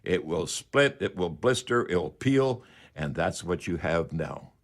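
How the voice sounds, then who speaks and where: A man's voice: sits low, expressive, a little slow, slight background noise. A man hosting a radio call-in show, giving unscripted, instructive how-to advice and repeating key phrases for emphasis.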